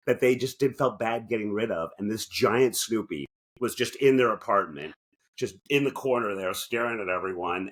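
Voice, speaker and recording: A man's voice: mid-range voice, fairly bright tone. A man co-hosting a podcast in casual, comedic chat, joking and often interrupting himself. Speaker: podcast co-host.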